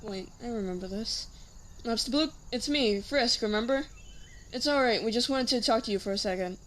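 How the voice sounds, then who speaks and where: A woman's voice: a little high, normal speed, slight background noise, natural voice. A woman playing a serious, caring young human child in an audio drama, with a plain, earnest way of talking. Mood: gentle, coaxing.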